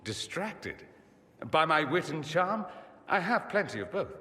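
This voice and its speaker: A flamboyant, self-assured mage from a fantasy game. His sharp, bright voice leaps about theatrically with sardonic wit, ringing in a long reverb. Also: on the high side, big pitch swings, a little slow, slight background noise, full character voice. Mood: playful, vain. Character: flamboyant, witty, vain male mage from a foreign empire. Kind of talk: dramatic video game cutscene acting.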